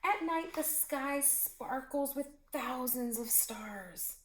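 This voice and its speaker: A woman reading a children's picture book aloud as a teacher, in a slow and expressive storytelling manner. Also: high-pitched, echoey room, a little hiss or hum.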